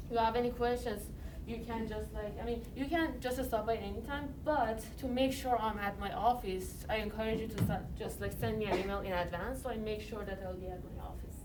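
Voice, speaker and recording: A woman's voice: medium pitch, normal speed. Woman, Iranian accent, university lecturer, chatty with lots of fillers, recorded from across the room, noisy background. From Iran. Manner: conversational, many fillers like "you know" and "like". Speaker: chemistry lecturer. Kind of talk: classroom lecture. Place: university classroom. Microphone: iPad recording from a distance. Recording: distant mic, noisy classroom.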